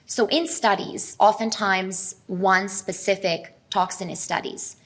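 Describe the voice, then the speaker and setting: A woman's voice: medium pitch, steady tone, takes it slowly, fairly bright tone. A middle-aged woman from Serbia, giving an earnest, explanatory presentation to a live audience at a health expo and asking them questions.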